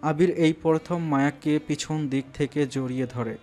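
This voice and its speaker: A man's voice: a little high, steady tone, moderate pace, darkish tone. A man narrating a romantic audio story in a dramatic, scripted style. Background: background music.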